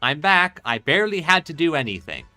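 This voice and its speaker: A man's voice: on the high side, very animated, fairly quick, sharp tone, faint music behind. A man giving casual, rambling game commentary and reading dialogue aloud as he plays. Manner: rambling, hesitant thinking aloud. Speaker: game streamer.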